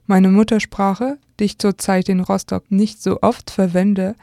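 A woman's voice: mid-range voice, little pitch movement, a little slow, creaky, warm tone, dry recording, studio quality. A young woman from Alsace, a native French speaker with a French accent, reading a scripted radio essay. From Alsace, France. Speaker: radio contributor.

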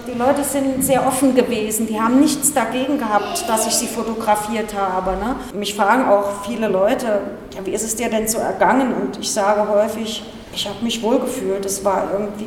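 A woman's voice: on the high side, a little mellow. Woman speaking far off in an echoing hall. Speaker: interviewee, photographer. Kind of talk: casual spoken reflection. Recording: distant, very echoey hall, faint noise.

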